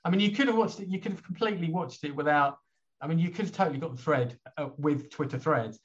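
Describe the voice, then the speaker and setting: A hoarse man, hosting an online chat show in a rambling, chatty way with lots of fillers. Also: a little high, quick pace, muffled recording. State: hoarse from a cold. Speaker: host.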